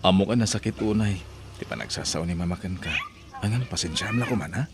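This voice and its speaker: A man's voice: sits low, fast talker, background noise. A man with an Ilocano accent playing a loyal, earnest young husband in an audio drama. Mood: concerned.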